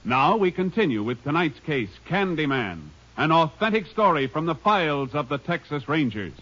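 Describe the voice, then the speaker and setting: A man's voice: a little high, very animated, moderate pace, background noise. A man announcing a radio drama in a formal, declamatory style, in a dull old radio recording.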